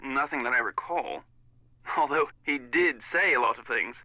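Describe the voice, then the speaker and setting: A man's voice: mid-range voice, moderate pace, dry recording. An actor playing a fussy, indignant Englishman in a video game, speaking formally with a British accent through a telephone filter. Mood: gossipy disdain. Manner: formal, pompous, clipped disapproval. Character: fussy, indignant English wedding guest on the phone. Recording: muffled narrow phone line sound.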